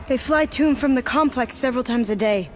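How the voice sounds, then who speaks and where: A woman's voice: sits high, brisk, soft background music, close to an everyday voice. A woman playing an earnest, literal-minded scholar companion in a video game. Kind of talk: video game character acting.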